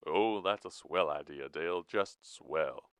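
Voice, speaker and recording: A man's voice: low voice, normal speed, fairly bright tone, dry recording. A man voicing a shifty, insincere, folksy old-time American hobo in an audiobook. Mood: wheedling, sarcastic.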